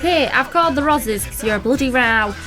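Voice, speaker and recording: A woman's voice: sits high, animated, a little fast. A woman playing a wistful, grumbling old woman in a radio play, with an English accent and plain colloquial speech. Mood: smug scolding. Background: music.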